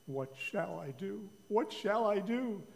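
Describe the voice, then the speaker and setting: A man's voice: high-pitched, animated, slow, gravelly, voice pushed up, heavily acted. A man doing a pompous, flustered king in an echoey hall. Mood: worried, doubtful. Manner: pompous, stammering, repeats himself. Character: fretful, flustered king and father, pompous and indecisive. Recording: reverberant church hall.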